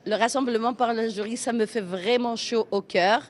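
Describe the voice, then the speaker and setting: A woman's voice: a little high, normal speed. A woman giving a spontaneous interview as a participant at a community event.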